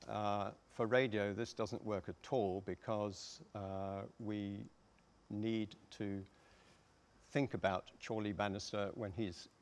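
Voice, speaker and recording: An older man giving a halting, deliberate explanation on a discussion panel. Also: low-pitched. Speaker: panellist, radio adapter. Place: theatre stage, seated panel. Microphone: lapel mic.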